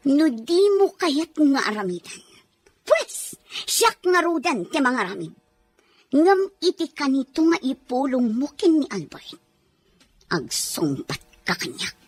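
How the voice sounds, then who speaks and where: A woman's voice: sits high, big pitch swings, measured pace, no room sound, faint music behind. A woman acting as a scheming, commanding rich mistress who threatens her nanny in a drama. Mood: angry, threatening. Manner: menacing, commanding. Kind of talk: Ilocano radio-style drama acting.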